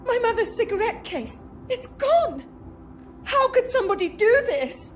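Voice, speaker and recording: An actress playing a young, well-bred British lady with an educated English accent, outspoken and expressive, in a video game. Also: very high-pitched, a little slow, exaggerated voice. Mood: distraught. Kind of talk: video game dialogue acting.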